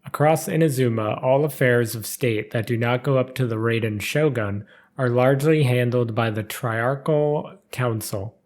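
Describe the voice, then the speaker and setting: A man narrating written lore as a storyteller, with a measured, formal read-aloud delivery. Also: mid-range voice, darkish tone. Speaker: narrator reading game character lore.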